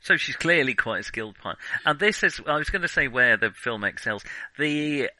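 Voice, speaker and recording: Male podcast co-host, very bright, sharp voice, dry close sound, chatting casually and hesitantly about films. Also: mid-range voice, animated, a little fast, no room sound, poor audio. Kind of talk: casual film discussion.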